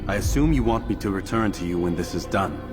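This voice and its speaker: Low male assassin, video game drama, proud, curt, impatient, deadpan, background music. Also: fast talker. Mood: curt, businesslike. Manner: terse, deadpan, self-assured.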